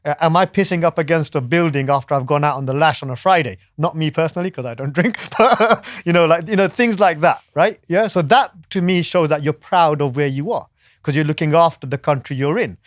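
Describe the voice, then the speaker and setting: A man's voice: on the high side, brisk, dry recording, studio quality. A man talking as a guest in a casual discussion, often restarting and tagging his sentences with "yeah" and "right?". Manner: hesitant restarts, frequent "yeah" and "right?" tags.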